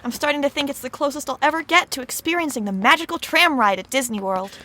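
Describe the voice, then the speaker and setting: A woman's voice: high voice, fast talker, pushed and bright. An actress playing a cheerful, earnest female teammate in a comedy audio drama, bubbly and upbeat.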